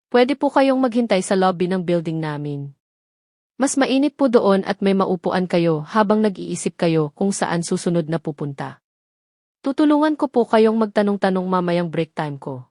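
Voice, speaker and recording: A woman's voice: mid-range voice, darkish tone, no room sound, clean studio sound, close to an everyday voice. Lady playing a sweet polite young office girl, earnest and respectful, audio drama. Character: kind, polite, eager young working woman.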